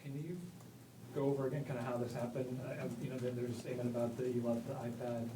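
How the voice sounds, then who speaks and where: Man, reporter, far from mic, noisy room, hesitant rambling questions, flat pitch. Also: fast. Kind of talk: off-the-cuff press question. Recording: distant, echoey, noisy room pickup.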